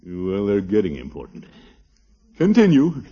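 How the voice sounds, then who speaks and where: Blustering uncle, wildly swooping voice. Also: male voice, fairly low pitch, huge pitch swings, a little slow, dark tone, husky, full character voice. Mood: testy. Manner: chuckling, exclaiming, easily flustered. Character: blustering, genial middle-aged uncle, head of household. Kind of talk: 1950s radio sitcom acting. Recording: muffled, noisy 1950s radio recording.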